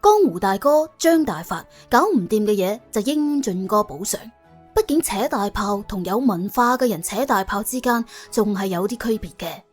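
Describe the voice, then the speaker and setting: A woman with a Cantonese accent narrating a comic crime story in a colloquial, wry, expressive way. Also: medium pitch, normal speed, soft background music. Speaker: audiobook narrator.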